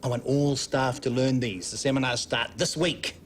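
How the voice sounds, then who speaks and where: A man's voice: mid-range voice, steady tone, moderate pace, slight background noise, natural voice. Cast a man as an earnest, jargon-loving hospital CEO, mid-range voice, soap drama. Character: newly idealistic male hospital boss. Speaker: hospital chief executive. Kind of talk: television soap drama acting.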